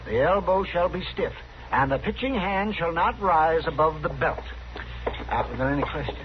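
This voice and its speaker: Man playing a fussy, high-voiced old frontier doctor. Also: lively intonation, normal speed, close to an everyday voice. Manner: pedantic, hemming, officious. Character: fussy, crotchety small-town frontier doctor, older man. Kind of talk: old-time radio western acting. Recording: dull, noisy vintage radio recording.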